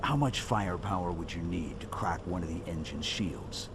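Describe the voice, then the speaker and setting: A man playing a stoic male supersoldier, terse and deadpan, in a video game cutscene. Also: deep voice, normal speed, noisy background. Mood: stoic, determined.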